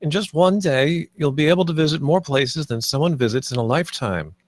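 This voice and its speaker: A man's voice: fairly high pitch, expressive, a little fast, no room sound, clean studio sound. A man playing an earnest, idealistic theme park designer in a screenplay table read, with a speechlike delivery. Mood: earnest, visionary.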